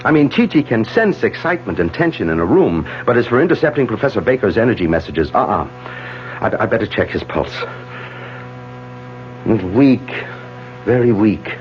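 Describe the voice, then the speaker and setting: A man's voice: on the low side, big pitch swings, normal speed. A controlled, authoritative doctor in a seventies radio thriller. His mid-range voice swings widely as he instructs and commands. The broadcast sounds dull, with music. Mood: tense, questioning. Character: authoritative, controlled male doctor managing a crisis. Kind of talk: 1970s radio mystery drama acting.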